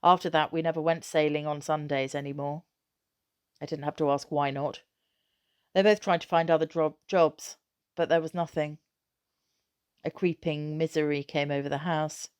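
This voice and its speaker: A woman reading a children's novel aloud as an expressive narrator. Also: low voice, moderate pace, pauses often, clear, resonant tone, no room sound, clean studio sound. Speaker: storybook reader.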